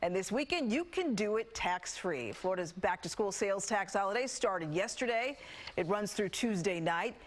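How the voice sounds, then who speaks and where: A woman's voice: medium pitch, expressive, moderate pace. A woman with an American accent reads scripted TV news as an anchor. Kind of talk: scripted newscast narration.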